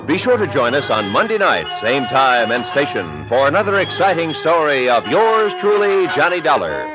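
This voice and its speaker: A man delivering old-time radio announcements in a formal, booming style, his pitch rising and falling widely. Loud music plays behind him on the old transcription. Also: very animated, moderate pace, dull audio.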